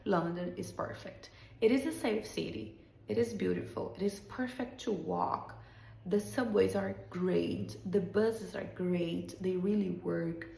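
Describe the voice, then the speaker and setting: A young Brazilian woman, a teacher, speaks English with a Brazilian Portuguese accent, slowly and carefully. Her voice has a gravelly, creaky edge. The sound is echoey, as if recorded in a bare room. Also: on the low side, warm tone. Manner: slow, deliberate phrasing with short repeated sentences. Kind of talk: slow spoken English lesson podcast.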